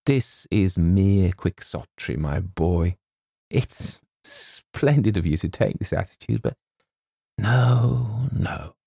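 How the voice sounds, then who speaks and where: A man's voice: low voice, animated, slow pace, creaky, warm tone, breathy edge, dry recording, clean studio sound, heavily acted. Man playing a sentimental, faltering old English colonel. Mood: wistful, resigned. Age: older. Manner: hesitant, repeats himself, stumbles over names. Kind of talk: theatrical audiobook character voice.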